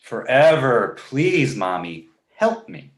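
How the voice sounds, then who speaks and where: A man playing a precocious, sarcastic baby boy with a dry, mock-innocent way of needling, in an animated comedy table read. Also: mid-range voice, slow. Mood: mock pleading. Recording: echoey room.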